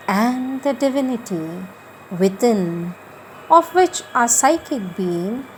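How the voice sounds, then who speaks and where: A woman reading spiritual writings aloud in a devotional, scripted style, with measured, deliberate phrasing and pauses. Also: mid-range voice, a little mellow, slight background noise, rough recording. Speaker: reader of spiritual texts.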